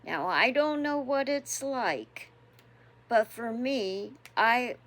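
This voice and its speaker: A woman in her sixties hosting a devotional channel, chatting casually and reflectively, unhurried and chuckling often. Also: a little high, lively intonation, a bit bright. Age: 60s. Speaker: devotional host.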